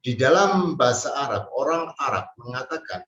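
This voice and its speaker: A man from Central Java, giving an Arabic language lesson slowly and hesitantly, with many fillers. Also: medium pitch, expressive, a bit bright. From Central Java, Indonesia. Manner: slow, hesitant, with many fillers and drawn-out pauses. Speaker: Arabic teacher. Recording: distant mic, roomy and dull sound.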